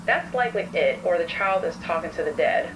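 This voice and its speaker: A woman acting as a composed female therapist speaks in a fairly low voice, probing and narrating case notes for a horror story. The sound is distant, with clear noise behind it. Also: expressive, a little fast, lightly acted. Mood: composed, uneasy.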